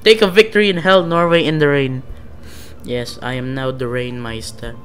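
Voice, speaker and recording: A man's voice: mid-range voice, fairly even intonation, normal speed, a bit bright. A man with a Filipino accent giving laid-back, casual gameplay commentary, swearing now and then and talking to himself. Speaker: gaming channel host. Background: game music and car engine.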